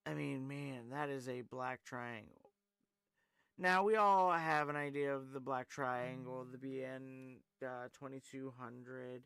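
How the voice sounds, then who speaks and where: A man's voice: medium pitch, takes it slowly, gravelly, fairly bright tone, clear, resonant tone, dry recording. A man hosting a live call-in stream, chatting loosely, rambling with lots of fillers and reading viewers' comments aloud. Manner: rambling, filler-heavy, reads chat aloud. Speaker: call-in show host. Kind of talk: casual live-stream chat.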